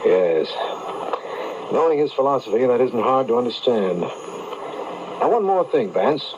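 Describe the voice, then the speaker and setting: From a dull, faintly hissy AM broadcast, an earnest male official in an old mystery serial. He has a medium-pitched voice and a direct, inquiring manner, as a detective's friend. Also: very animated, a little slow. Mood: earnest, curious. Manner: inquiring, straightforward. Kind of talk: old-time radio mystery acting. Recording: muffled, hissy AM radio reception.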